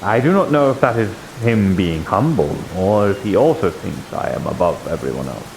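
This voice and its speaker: Low-voiced man over clear rain-like hiss. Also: big pitch swings, moderate pace, low-quality recording. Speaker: streamer role-playing in a VR game. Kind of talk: improvised in-character roleplay dialogue.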